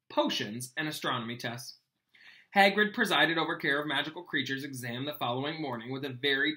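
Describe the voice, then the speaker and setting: A young man reading a storybook aloud like a teacher, expressively, with plain explanatory asides. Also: on the high side. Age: young adult. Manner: expressive, acts out dialogue. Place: small home room, indoors.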